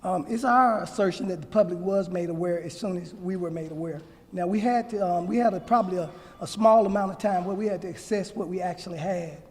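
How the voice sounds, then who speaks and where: Male official, high-pitched and mellow, measured formal press answers, reverberant hall. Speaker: law enforcement official answering press questions.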